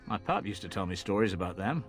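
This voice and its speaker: A man's voice: deep voice, lively intonation, fast, soft background music. An actor playing a plain-spoken, folksy commoner ferryman in a fantasy video game, chatting in rustic, colloquial speech. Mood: friendly.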